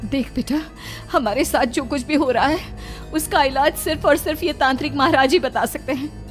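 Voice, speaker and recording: Woman acting high-pitched anxious, protective mother. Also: normal speed, faint music behind, lightly acted. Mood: anxious concern. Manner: urgent, emotional pleading. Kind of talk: melodramatic horror cartoon acting.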